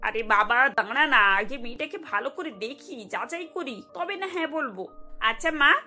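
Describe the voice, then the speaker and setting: A woman's voice: high voice, animated, moderate pace, sharp tone, faint music behind, full character voice. A woman voicing a practical, probing middle-aged mother-in-law in a cartoon. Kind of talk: cartoon acting.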